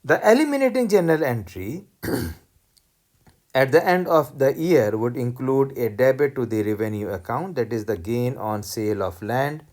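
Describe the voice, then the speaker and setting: Lecturer, mid-pitched voice, teaching worked examples in a deliberate, step-by-step didactic manner. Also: expressive, a little mellow. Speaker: accounting lecturer.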